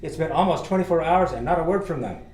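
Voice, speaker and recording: A man's voice: a little high, fast talker, darkish tone, lightly acted. Man acting a stern major, echoey room. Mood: exasperated. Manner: terse, commanding. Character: stern, suspicious male army major, commanding. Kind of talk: radio drama acting.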